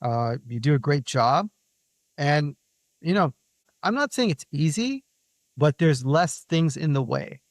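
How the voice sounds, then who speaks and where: A man's voice: on the high side, very animated, a little slow, pauses often, no room sound. A man hosting an interview show, chatting casually and conversationally with his guest. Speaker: podcast host.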